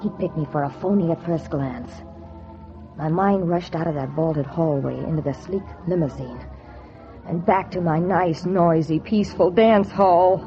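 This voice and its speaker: An old, dull radio recording with loud music behind a low female voice. An actress plays a young American noir heroine, knowing and slangy, telling her story in wry 1940s radio style. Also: measured pace, lightly acted. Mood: tense, hushed. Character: wisecracking young dance-hall woman posing as an heiress. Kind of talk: 1940s radio acting, noir first-person narration.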